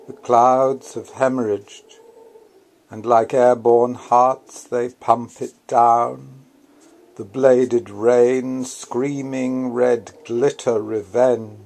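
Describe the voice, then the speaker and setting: A man, an author, reading his own literary prose aloud in a deliberate, theatrical, incantatory manner. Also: medium pitch, a little mellow.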